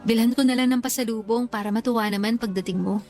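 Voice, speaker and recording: Woman acting sassy bestie in a radio drama, high voice, bit scoldy, faint music under her. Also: steady tone, quick pace, darkish tone, natural voice. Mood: friendly. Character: sassy childless working woman, scolding, generous best friend. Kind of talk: Tagalog radio drama dialogue.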